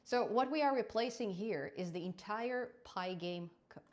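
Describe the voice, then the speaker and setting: A young adult woman hosting a live coding stream, chatty and quick to laugh, often restarting her words. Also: medium pitch. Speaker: programming channel host.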